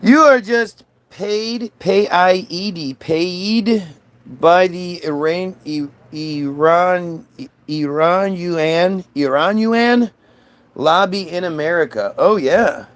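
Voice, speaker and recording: A man's voice: high-pitched, takes it slowly. A man in a casual, combative political rant, dismissive and sweary as he answers chat comments. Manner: frequent swearing.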